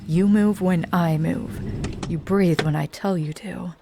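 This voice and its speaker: A woman voicing a hardened female vampire hunter who bosses a rookie around in an audio roleplay, speaking in a low, commanding, teasing way that often sinks to a hush. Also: fairly even intonation, takes it slowly, vocal fry, background noise. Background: faint ambient soundscape.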